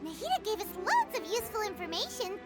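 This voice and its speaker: A woman voicing a tiny, excitable, childlike fairy companion in a video game, squeaky and bubbly. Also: fast talker. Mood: excited, curious. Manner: bubbly, refers to self in third person. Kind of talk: video game character acting. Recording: loud music behind the voice.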